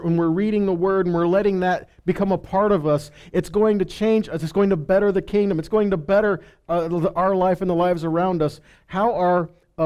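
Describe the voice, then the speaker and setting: Male preacher, middle-aged, warm dark timbre, emphatic teaching with rhetorical questions, headset mic. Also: sits high. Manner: emphatic, rhetorical questions, stresses key words. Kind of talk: sermon, conversational teaching. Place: stage in a congregation hall.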